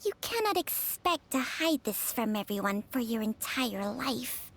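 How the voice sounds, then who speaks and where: A woman voicing an ancient female snake spirit, proud and sharp-tongued, scolding and teasing. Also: on the high side, very animated, a little slow, full character voice. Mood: earnest. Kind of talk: video game voice acting. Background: game music.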